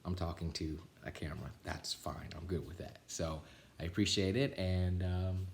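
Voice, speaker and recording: A man's voice: low voice, fairly even intonation, normal speed, vocal fry, slight background noise. A man reading a children's storybook aloud and chatting casually with viewers. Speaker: storybook reader. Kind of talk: animated read-aloud and casual sign-off. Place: indoors at home. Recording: roomy indoor echo.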